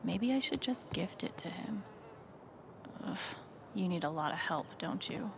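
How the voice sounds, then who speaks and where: An actress playing a young woman in a video game, wry and wistful, musing quietly to herself. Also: animated, unhurried, vocal fry, background noise. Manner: low-key, musing to herself. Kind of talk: naturalistic video game voice acting, inner monologue.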